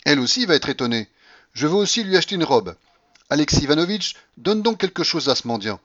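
A man's voice: on the high side, very animated, fast, fairly bright tone, highs cut off, voice pushed up. A man voicing an imperious, eccentric rich old Russian grandmother in an audiobook, blunt and bossy.

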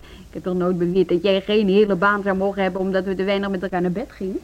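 A woman's voice: mid-range voice, flat delivery, quick pace, a little mellow, slight background noise, close to an everyday voice. A woman acting an independent, assertive music teacher and wife in a Dutch social radio drama. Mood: firm, indignant. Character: independent, spirited music teacher, wife standing her ground. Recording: dull, band-limited radio drama recording.

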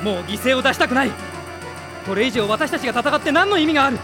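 A man's voice: extremely high pitch, expressive, quick pace. A male actor playing a noble young prince in a fantasy audio drama, earnest and resolute, speaking formally and with passion. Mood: pleading. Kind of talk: Japanese fantasy radio drama acting. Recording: dramatic music behind the voice.

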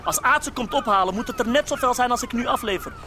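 An actor playing a bossy, impatient hashish dealer in a radio drama, with pushy, mocking street slang. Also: male voice, very high voice, huge pitch swings, quick pace, background noise, heavily acted. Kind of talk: naturalistic Dutch radio drama acting.

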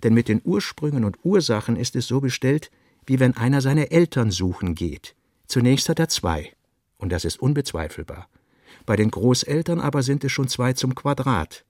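A man reading literary prose as a radio play narrator, in a measured, deliberate, essayistic manner. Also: medium pitch, animated, darkish tone, no echo, studio quality.

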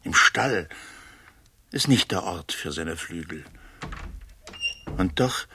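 An actor playing a composed, patronising nobleman in a literary radio drama, speaking formally in a husky, creaky voice. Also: deep voice, expressive, sharp tone, slight background noise, heavily acted. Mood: soothing. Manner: measured, formal speech. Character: courtly nobleman, composed, patronising friend of an old adventurer.

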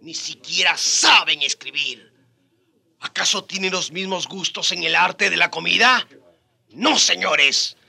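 A man's voice: high-pitched, measured pace, creaky, pushed and bright, full character voice. A man playing a haughty, pompous Spanish scholar declaiming in a radio drama debate. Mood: scornful. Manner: pompous, declamatory, rhetorical questioning. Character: haughty Spanish scholar debating against equality of American Indians.

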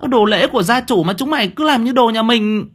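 A man's voice: medium pitch, big pitch swings, normal speed, slightly rough, soft background music. A man voicing a bossy, foul-mouthed young woman exorcist who scolds shrilly. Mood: scolding.

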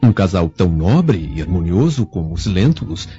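An actor playing a courteous, flattering guest among Roman nobles in a radio drama, careful and polite with words. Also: male voice, low-pitched, moderate pace. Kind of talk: theatrical radio drama acting. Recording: muffled lo-fi radio drama with music.

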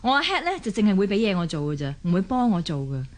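A woman's voice: medium pitch, very animated, rapid-fire, lightly acted. A woman acts an overworked mother in a Cantonese radio drama. She speaks with a Hong Kong accent in a mid-range voice, weary and prone to sighing complaint. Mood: weary, complaining. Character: tired, overworked working mother and wife.

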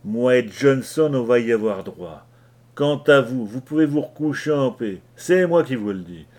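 A man voicing an angry young sailor who shouts at his shipmates with scorn, in an audiobook reading. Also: lowish voice, slow pace, slightly rough. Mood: angry, scornful.

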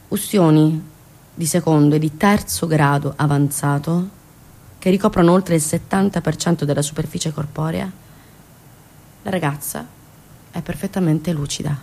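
Female stage storyteller. Dramatic, impassioned, indignant delivery with big melodic swings and weighted repetition. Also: mid-range voice. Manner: impassioned, emphatic, repeats phrases for weight; indignant rhetorical questions to listeners. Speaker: stage actress performing a monologue. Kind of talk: dramatic theatrical storytelling monologue.

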